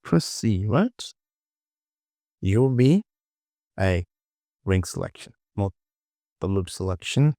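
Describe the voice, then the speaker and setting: A man hosting a casual software tutorial, thinking aloud and muttering repetitively to himself as he works. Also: low-pitched, sing-song, slow pace, long pauses, no room sound, clean studio sound. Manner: muttering, repetitive self-talk. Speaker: 3D modeling tutorial host.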